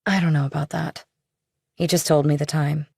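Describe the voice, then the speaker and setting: Woman acting a evasive young woman, low gravelly fry, casual, defensive, audiobook thriller dialogue. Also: steady tone, moderate pace, a little mellow, no echo, studio quality, heavily acted. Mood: evasive, offhand.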